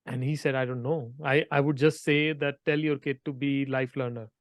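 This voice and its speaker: A man from India hosting an interview podcast, talking in an earnest, halting way and often repeating words. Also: medium pitch, steady tone, no room sound, studio quality. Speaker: podcast host.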